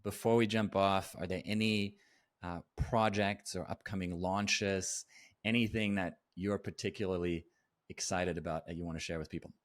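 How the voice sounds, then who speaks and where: A man hosting a podcast, asking questions in a calm, measured way. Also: deep voice, no room sound, clean studio sound. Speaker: podcast host. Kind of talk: interview questions.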